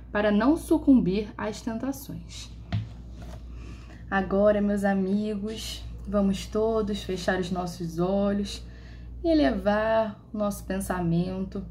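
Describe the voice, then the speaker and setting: A woman reading a devotional passage and then leading a slow, solemn opening prayer. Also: medium pitch, warm tone, slight background noise.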